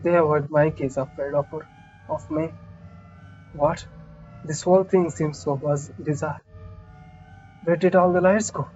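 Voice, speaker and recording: Slow-paced game streamer reading aloud, slightly muffled. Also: mid-range voice, steady tone, darkish tone. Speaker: let's play streamer reading a visual novel aloud. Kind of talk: live game read-aloud with casual side comments. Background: game music.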